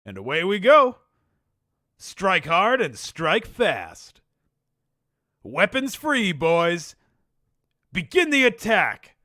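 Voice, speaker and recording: A man's voice: high-pitched, no room sound, clean studio sound, full character voice. A man doing a bombastic, melodramatic army commander. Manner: melodramatic and theatrical, with big swings between calm and yelling. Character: bombastic male army commander barking orders. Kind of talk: comedic game-character voice lines.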